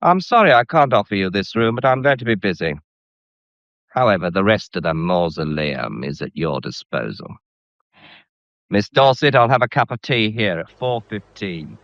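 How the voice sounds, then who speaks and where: A smug man in a radio drama, low-voiced and swooping as he taunts his listeners. He draws his words out with theatrical mockery, in a dry, slightly dull recording. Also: very animated, fairly bright tone, no room sound. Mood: smug, commanding. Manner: theatrical, drawn-out, mocking. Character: smug, taunting man relishing his hold over others.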